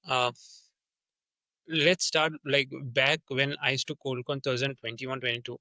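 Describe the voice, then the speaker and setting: A man's voice: medium pitch, pushed and bright, no room sound. A young man with an Indian accent, a sales rep talking through his work in a casual interview, earnest and full of restarts and fillers. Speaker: sales development representative, guest.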